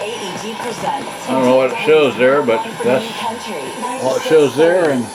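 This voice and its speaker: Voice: mid-range voice, animated, takes it slowly, a loud crowd, rough recording. A person giving a casual, unscripted product demonstration, rambling and muttering to themselves while handling a device. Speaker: video host demonstrating a cassette radio. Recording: radio playing loudly behind the voice. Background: radio music and ads from the demonstrated player.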